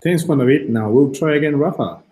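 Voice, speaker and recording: A man from Ecuador, a PhD student, introducing himself and passing the turn on a video call, slightly halting. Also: on the high side, warm tone. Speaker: PhD student and teaching assistant.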